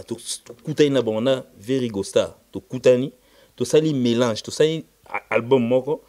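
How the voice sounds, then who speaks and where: A man with a Congolese accent and Lingala as his first language speaks as an interviewed musician, emphatic and repetitive, switching between halting French and Lingala. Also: mid-range voice, warm tone. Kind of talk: casual interview, recounting band history.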